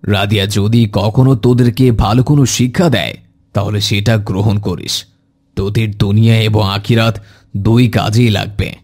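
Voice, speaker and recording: A man playing a kindly, pious elderly grandfather who gently admonishes in a measured, instructive way in an Islamic cartoon. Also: lowish voice, steady tone. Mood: gently admonishing. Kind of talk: Islamic moral cartoon dialogue.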